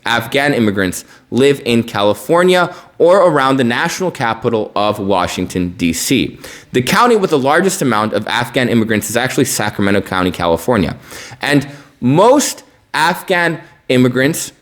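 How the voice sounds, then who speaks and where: Man in his twenties, emphatic news commentary. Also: mid-range voice. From born in Bosnia, partly raised in the United States. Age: 20s. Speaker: news podcast host. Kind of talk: opinionated news report, spoken commentary.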